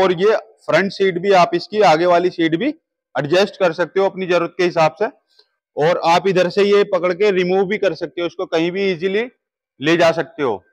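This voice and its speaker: A man in his thirties with a fairly high voice walks through a large indoor warehouse, explaining each gym machine in a steady sales manner. He is recorded on a lapel mic as he points out the features. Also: poor audio. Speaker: gym equipment seller. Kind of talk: product walkthrough.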